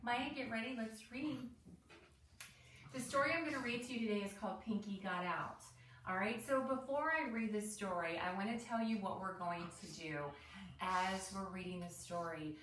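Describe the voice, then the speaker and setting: A woman's voice: mid-range voice, moderate pace, fairly bright tone. A woman speaks as an elementary teacher leading a read-aloud lesson for young children. She explains in simple, encouraging steps and often checks in with little questions. The recording is roomy and echoey, picked up at a distance from the mic with some background noise. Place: indoor room with pet dogs nearby.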